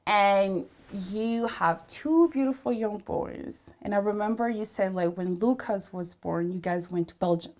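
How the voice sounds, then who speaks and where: A woman's voice: medium pitch, unhurried, hardly any pauses, a little mellow. Effusive woman host, lots of "like". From Latin America, Spanish-speaking. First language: Spanish. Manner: effusive, many "like" fillers. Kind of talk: casual interview chat.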